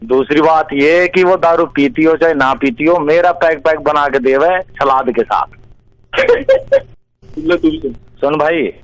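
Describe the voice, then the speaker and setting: Casting: young male caller, slightly high voice, demanding and blunt, casual phone talk, close dry sound. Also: slow pace, no echo, poor audio. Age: young adult. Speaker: prank call recipient seeking a bride. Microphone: phone call.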